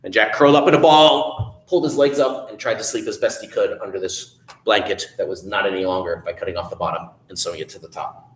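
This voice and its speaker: A man telling a story at a children's storytime, animated, adding sound effects and asking the children questions. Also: fairly low pitch, fast, echoey room, rough recording. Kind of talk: children's storytime read-aloud.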